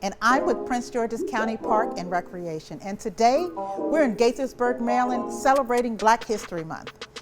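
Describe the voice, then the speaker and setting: A woman's voice: on the high side, moderate pace, over loud music. A woman hosting a casual on-location interview in a kitchen. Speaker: host.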